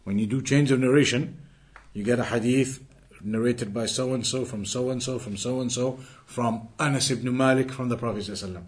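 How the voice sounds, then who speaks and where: A man leads a classroom lesson on religious texts, speaking at an ordinary middle pitch. He explains line by line, poses rhetorical questions to his students, and slips into rapid, fluent Arabic whenever he quotes. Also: fairly even intonation. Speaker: Islamic teacher.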